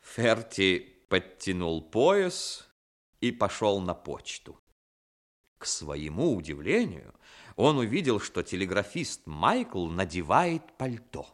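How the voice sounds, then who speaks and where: A man's voice: deep voice, big pitch swings, slow pace. A man narrating a scripted radio drama as its storyteller.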